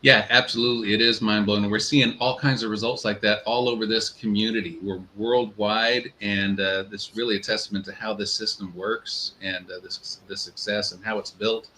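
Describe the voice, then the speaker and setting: Bright-voiced man co-hosting, noisy background. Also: fairly low pitch, steady tone, a little fast, few pauses. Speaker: co-host and business mentor. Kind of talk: casual live-stream chat.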